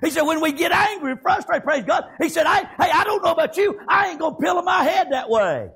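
A man's voice: very high-pitched, animated, a little fast, vocal fry, fairly bright tone, raised voice. A man with a Southern American accent preaching a fervent revivalist sermon in a folksy way, peppered with exclamations of praise. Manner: folksy, colloquial.

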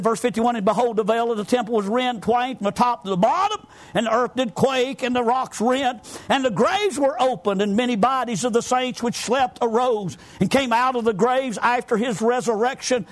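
A man with a Southern US accent preaching a fervent evangelical sermon, exclamatory and often rising toward a shout, punctuating his points with amens. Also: very high-pitched, moderate pace.

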